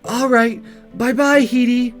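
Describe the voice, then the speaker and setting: A man voicing a gentle, worried, motherly schoolgirl class representative in a visual novel read aloud. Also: very high-pitched, flat delivery, takes it slowly, faint music behind, pitched above the performer's own voice. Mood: cheerful.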